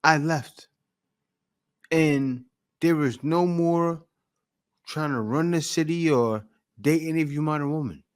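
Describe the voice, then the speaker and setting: A man's voice: on the high side, slow pace, long pauses, clean tone. A man hosting a reaction video, who speaks in a blunt, lecturing and emphatic way. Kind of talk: opinionated reaction commentary.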